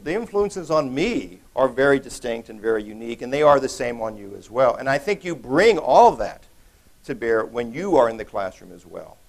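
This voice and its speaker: Middle-aged guy doing a conference keynote, loose and jokey, lots of um and uh, big hall, standing off from the podium. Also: fairly high pitch. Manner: conversational, joking, many restarts and fillers. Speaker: keynote speaker.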